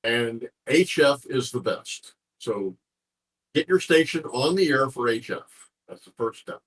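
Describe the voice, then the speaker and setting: Husky-voiced man explaining informally. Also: mid-range voice, expressive, unhurried, rough recording. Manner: rambling, repeats phrases as he explains. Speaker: interview guest, ham radio contest organizer.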